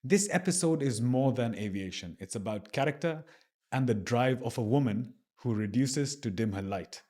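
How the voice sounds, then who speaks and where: A man's voice: medium pitch, lively intonation, normal speed, mellow. A man hosting a podcast interview, reading a scripted intro and then asking casual questions with fillers. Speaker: podcast host.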